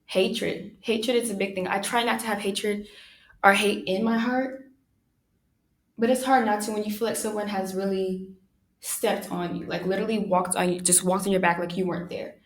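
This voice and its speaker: A young woman hosting her own podcast, talking through personal thoughts in a soft, soothing voice, pausing often and using many fillers. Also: mid-range voice, steady tone. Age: young adult. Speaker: podcast host. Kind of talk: reflective personal monologue.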